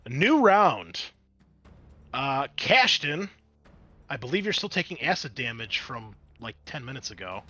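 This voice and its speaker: A man narrating and refereeing a tabletop roleplaying game as game master, in an informal, excitable way. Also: mid-range voice, a little slow, soft background music.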